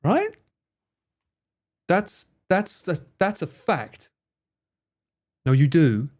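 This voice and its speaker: A man's voice: a little high, very animated, drawn-out words, a little mellow. A man streaming live, chatting casually with viewers in a joking, theatrical way and swearing freely. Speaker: streamer and cameraman.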